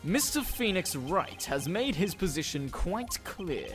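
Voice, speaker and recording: Casting: male actor for haughty game prosecutor, fairly high voice, animated, pompous formal diction, music behind. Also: a little slow, exaggerated voice. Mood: pompous, explaining. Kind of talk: fan-dubbed courtroom game voice acting.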